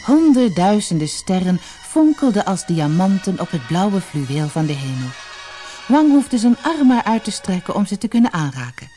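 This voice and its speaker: A storyteller reading a scripted fairy tale in an expressive, dramatic manner. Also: medium pitch, moderate pace, soft background music.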